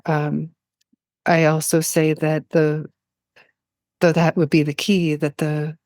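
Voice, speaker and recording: Low-voiced, thoughtful woman in conversation. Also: flat delivery, a little mellow, no echo. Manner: halting, thoughtful, searching for words. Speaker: podcast guest, poet. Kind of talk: reflective personal conversation.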